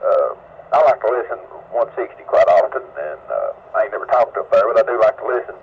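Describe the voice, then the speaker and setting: A man's voice: on the low side, lively intonation, fairly quick. An older man with a Southern US accent rambles in a folksy, casual way as an amateur radio operator. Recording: dull ham radio audio with faint noise.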